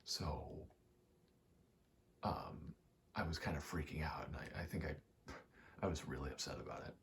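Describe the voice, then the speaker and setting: A man in his twenties doing a casual ASMR gaming ramble, soft-spoken and often whispering, in a halting, meandering way. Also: rumbling low, lively intonation, creaky. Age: 20s. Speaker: ASMR gaming video host. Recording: faint noise, game button clicks. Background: controller clicking, faint background noise.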